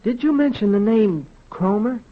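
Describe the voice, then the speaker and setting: Earnest schoolboy, high lively voice, slightly husky, polite, old-time radio drama, dull recording. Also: male voice, moderate pace. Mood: eager. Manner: earnest, boyish. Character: eager, polite small-town schoolboy son. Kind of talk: 1930s radio drama acting and narration.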